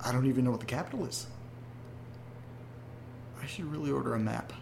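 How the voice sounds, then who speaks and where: A man's voice: medium pitch, fairly quick, creaky, darkish tone, a little hiss or hum, lightly acted. An actor playing an anxious young man who talks hesitantly and rambles, in a horror audio drama. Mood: wry. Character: anxious young man receiving strange photos.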